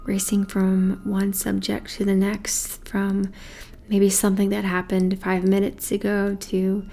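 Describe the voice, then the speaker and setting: Female meditation guide: unhurried, soothing, very steady pitch, breath-soft phrase endings. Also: creaky.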